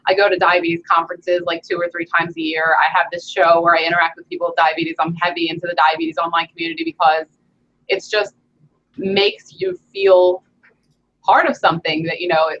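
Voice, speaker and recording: A woman's voice: on the low side, fairly bright tone, lo-fi sound, slight background noise. A young woman hosting an interview from her home gym over a video call, chatting casually with many "you know"s. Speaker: host.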